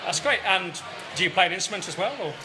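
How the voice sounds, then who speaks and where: A man's voice: sits high, quick pace, background noise. A man, a radio interviewer, asking polite, brief questions and filling gaps with short acknowledgements. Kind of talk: casual interview chat. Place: backstage at a music venue.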